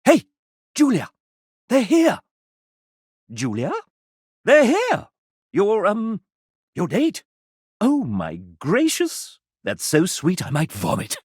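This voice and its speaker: A man voicing a sassy, arch gentleman who narrates a dating video game in a theatrical, mock-genteel and sardonic manner. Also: high-pitched, wildly swooping pitch, slow pace, pauses often, slightly rough, no echo, studio quality, full character voice. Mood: sassy, wry.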